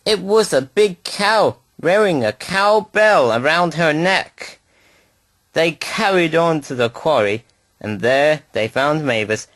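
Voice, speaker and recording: A man's voice: medium pitch, fairly bright tone, no room sound. A man reading a scripted children's story aloud as narrator.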